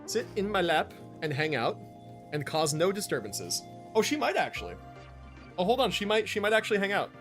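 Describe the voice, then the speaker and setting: Male game streamer, slightly high and animated, loose slangy chat with viewers. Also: brisk, music in the background. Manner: informal gamer slang, rambling. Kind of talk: casual livestream commentary, chatting with viewers.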